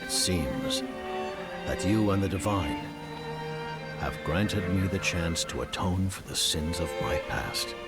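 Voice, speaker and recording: A super deep weary rebel sage for a game, guarded, solemn, lots of inflection, loud music under it. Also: male voice, animated, slow pace, heavily acted. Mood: reflective, solemn. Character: weary, wary male rebel sage seeking atonement. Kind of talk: video game cutscene acting.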